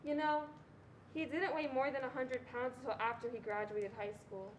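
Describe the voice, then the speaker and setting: A woman's voice: high-pitched, steady tone, normal speed, a little hiss or hum, close to an everyday voice. A young woman playing a mother who fondly recounts her son's childhood in a staged reading. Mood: fond, reassuring. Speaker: student performer. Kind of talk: reader's theatre narration.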